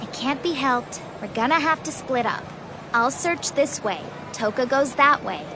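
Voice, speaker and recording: A woman voicing a bossy, brisk young girl who takes charge, in an anime fan dub. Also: sits high, expressive, over music. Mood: bossy, defensive.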